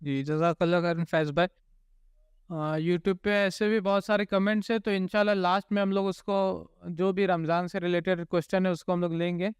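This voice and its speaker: A man's voice: sits high, level tone, moderate pace, clear, resonant tone, dry recording. A man with an Indian accent hosting a question-and-answer programme, informally relaying questions from viewers. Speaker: host reading out viewers' questions.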